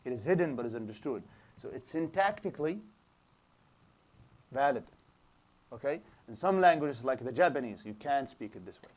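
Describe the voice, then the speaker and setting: A man's voice: mid-range voice, lively intonation, moderate pace, pauses often. Man teaching a lecture class. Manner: explanatory, asks the class rhetorical questions, frequent restarts. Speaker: Islamic studies teacher. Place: small lecture room with a projector screen.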